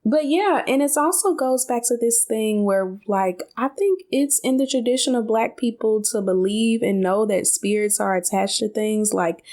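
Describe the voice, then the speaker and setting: A woman's voice: a little high, moderate pace, few pauses, darkish tone. A woman co-hosting a podcast, telling stories in a casual, conversational way with colloquial asides. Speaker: podcast co-host.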